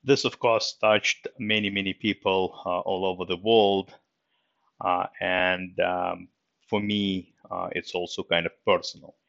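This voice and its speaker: A middle-aged man from Russia with a Russian accent, hosting a solo podcast episode, speaking haltingly with many fillers in a subdued way. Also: low voice, level tone, vocal fry, a bit bright, no room sound, muffled recording. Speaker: podcast host.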